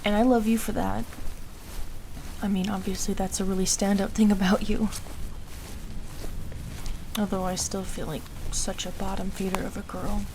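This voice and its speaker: A woman's voice: mid-range voice, level tone, normal speed, pauses often, noisy background, close to an everyday voice. A woman playing an anxious, self-doubting young woman in an audio roleplay, hesitant and emotional, defiant when pushed. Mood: torn, self-loathing. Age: young adult.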